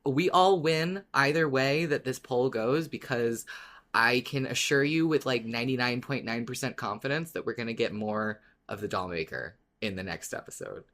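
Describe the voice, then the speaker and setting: A woman co-hosting a podcast, chatting casually about a favorite show in an informal, excitable, exaggerating way. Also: very deep voice, moderate pace. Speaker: podcast co-host. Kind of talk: casual fan discussion podcast.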